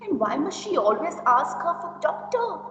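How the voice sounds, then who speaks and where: A woman's voice: sits high, normal speed, faint music behind, exaggerated voice. A woman playing a tactless, gossipy and judgmental young woman in an amateur classroom play. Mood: gossipy, scornful. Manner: exaggerated, animated, giggly reactions. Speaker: teacher acting in a classroom reader's theater. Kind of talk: amateur reader's theater performance. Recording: dull, echoey hall from far off, hum.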